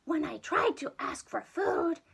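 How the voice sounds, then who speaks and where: A woman voicing a gentle, hungry giant monster in a children's storybook, gushing, polite and plaintive. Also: sits high, animated, measured pace, gravelly, voice pushed up, heavily acted. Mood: grateful. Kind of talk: children's storybook read-aloud.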